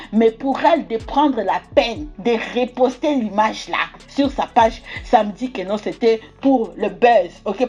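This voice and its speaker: A woman's voice: a little high, a little mellow. Outspoken Congolese woman dishing gossip. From Democratic Republic of the Congo. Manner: opinionated, repeats filler words like "quoi" and "tout et tout". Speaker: celebrity gossip commentator. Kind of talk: casual gossip commentary to camera.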